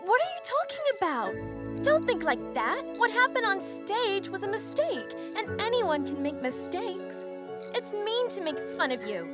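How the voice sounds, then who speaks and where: Sweet encouraging young girl for kids cartoon, super high, reassuring, music under it. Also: big pitch swings, unhurried, heavily acted. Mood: caring. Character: kind, encouraging young girl friend, sincere and caring. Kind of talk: children's animated series dubbing.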